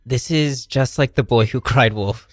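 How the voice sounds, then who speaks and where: A woman's voice: extremely low pitch, measured pace, no room sound, clean studio sound, voice pushed down. A woman playing an exasperated, practical frontier woman, weary and scolding, in improvised comedy.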